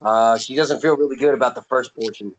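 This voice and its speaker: A man's voice: medium pitch, brisk, a crowd in the background, rough recording. A man in casual podcast banter, grumbling and swearing as he disagrees. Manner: grumbling, profane, contrary. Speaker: podcast co-host.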